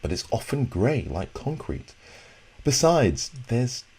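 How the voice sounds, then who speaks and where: A man voicing a mischievous, warm uncle with a smooth, velvety way of speaking in a storybook. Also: mid-range voice, sing-song, takes it slowly, slight background noise, pitched above the performer's own voice. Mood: wistful. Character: Uncle Cosmo, smooth-voiced mischievous adult man at breakfast.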